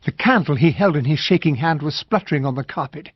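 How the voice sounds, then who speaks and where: An actor playing an earnest army captain in a radio drama. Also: male voice, a little high, animated, quick pace, husky, no echo, lo-fi sound. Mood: reflective. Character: earnest English army captain, narrating detective's friend. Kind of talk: radio drama acting and first-person narration.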